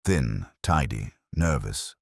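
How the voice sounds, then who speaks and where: A man's voice: extremely low pitch, lively intonation, slow, vocal fry, darkish tone, no room sound, voice pushed down, full character voice. A man voicing a prim, haughty antique dealer with dry wit and clipped indignation in a mystery story. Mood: dry, wry.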